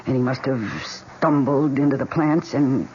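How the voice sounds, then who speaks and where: Anxious wife, muffled radio drama. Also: female voice, extremely low pitch, steady tone, measured pace, a little mellow. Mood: hesitant, confessing. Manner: hesitant, emotional, intimate delivery. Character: anxious young wife haunted by a dark secret. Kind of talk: 1970s radio drama acting. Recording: dull, hissy vintage radio recording.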